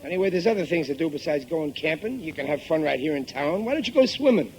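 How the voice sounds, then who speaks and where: A man's voice: a little high, lively intonation, quick pace, darkish tone, poor audio. An actor playing a middle-aged, working-class American father, blustering, exasperated and tightfisted, in a 1950s radio sitcom. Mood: grumbling, blustering. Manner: blustering, indignant, folksy grammar.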